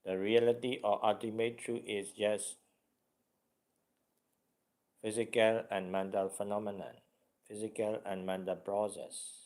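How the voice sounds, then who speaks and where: A man guiding a meditation as a teacher, speaking deliberately in short, halting phrases with much repetition. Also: lowish voice, little pitch movement, a little mellow.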